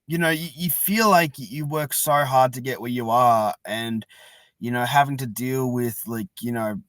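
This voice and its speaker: A man hosting a casual chat, talking sympathetically and haltingly, with many filler phrases as he reassures his guest. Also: mid-range voice, a bit bright, no echo. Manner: halting, sympathetic, leans on "like" and "you know". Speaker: podcast host. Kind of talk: casual supportive interview chat.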